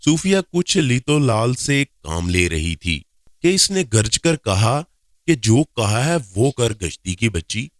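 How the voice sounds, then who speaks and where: A man's voice: mid-range voice, big pitch swings, slow, dry recording, studio quality. A man reading a scripted first-person story aloud as a narrator.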